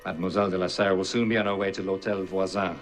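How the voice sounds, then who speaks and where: An actor playing a cold, patient male grandmaster villain in a video game, speaking in a measured, formal way. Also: sits low, level tone, close to an everyday voice. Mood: cold, assured. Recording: music behind the voice.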